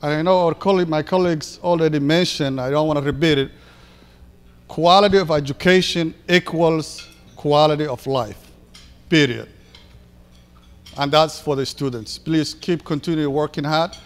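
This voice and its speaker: Man, Somali accent, handheld mic, slightly high pitch, halting ceremony speech, echoey hall. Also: clean tone. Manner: halting, many fillers, switches into Somali. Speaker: board member giving a speech. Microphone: handheld microphone.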